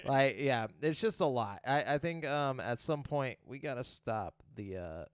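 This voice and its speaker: Guy hosting a podcast, casual n slangy, clean smooth voice, no room sound. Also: mid-range voice, expressive, unhurried, no room sound, clean studio sound. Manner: slangy, swearing. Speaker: podcast host. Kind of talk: casual chat.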